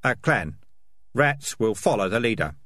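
A man voicing a tough, loyal and suspicious male rat in a storybook, blunt and forceful. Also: mid-range voice, big pitch swings, a little slow, no room sound. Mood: urgent.

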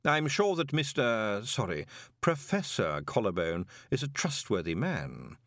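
Narrator playing a cool, menacing ruler; level, measured, dry close sound. Storybook. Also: male voice, mid-range voice, no echo. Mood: cold. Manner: calm, measured, quietly menacing. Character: calm, icy, commanding male ruler.

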